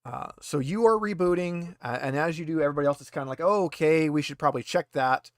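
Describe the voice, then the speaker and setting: Higher-voiced man running a tabletop game. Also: quick pace, no echo, clean studio sound. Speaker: game master. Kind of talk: improvised tabletop game narration.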